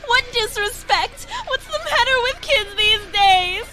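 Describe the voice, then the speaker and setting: A woman's voice: extremely high pitch, expressive, measured pace, no echo, exaggerated voice. A woman voicing an indignant, scolding schoolteacher in an amateur cartoon dub, with music under her voice. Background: loud music.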